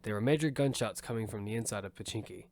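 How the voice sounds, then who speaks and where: A man's voice: on the low side, fast, natural voice. A man voicing Damian, a young battle survivor, narrating the story in first person in an earnest, matter-of-fact way. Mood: steady. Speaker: narrator and lead character. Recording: loud music score behind.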